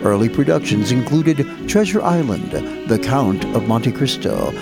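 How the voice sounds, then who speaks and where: A man's voice: mid-range voice, lively intonation, unhurried, gravelly. A man reading a scripted introduction as host of an old time radio show. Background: loud music under the voice.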